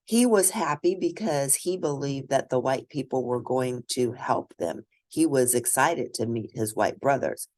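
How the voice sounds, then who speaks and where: A woman reading a children's story aloud and discussing it in an expressive, teacherly manner. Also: a bass voice, measured pace, few pauses, no room sound. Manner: expressive, teacherly, asks questions of listeners. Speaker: storybook reader.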